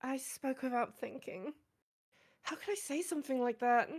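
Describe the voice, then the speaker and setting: A woman playing a shy, polite, bookish high school girl with a soft, hesitant delivery in a visual novel game. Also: moderate pace. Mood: distressed.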